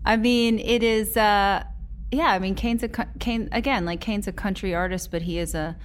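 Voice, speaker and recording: A woman's voice: medium pitch, steady tone, moderate pace, a little hiss or hum. A woman, a music manager, speaking emphatically and often repeating herself. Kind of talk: interview.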